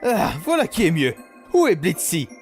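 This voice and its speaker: A man's voice: sits high, wildly swooping pitch, moderate pace, darkish tone, soft background music, full character voice. A man voicing a refined, doting demon father, hesitant and tender, in a cartoon fan dub. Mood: haughty. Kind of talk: animated series fan dub.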